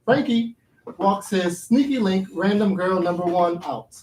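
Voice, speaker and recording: High-voiced man presenting in an echoey room. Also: measured pace. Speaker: playwright introducing and reading stage directions. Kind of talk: live introduction and stage-direction narration. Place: live staged reading in a theater program. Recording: echoey room with background noise.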